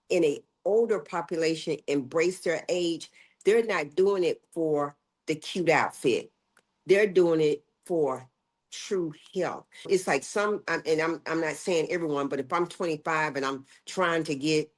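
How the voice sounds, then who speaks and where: Older woman, health educator guest, low breathy voice, emphatic and lively. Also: measured pace. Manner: emphatic, acts out quoted voices. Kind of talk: conversational interview.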